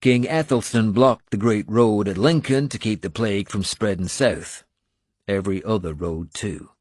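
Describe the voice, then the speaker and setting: A man voicing a blunt, gruff Irish male warrior with an Irish accent, scolding and coarse. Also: lowish voice, normal speed, darkish tone, dry recording, studio quality, close to an everyday voice. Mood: curt.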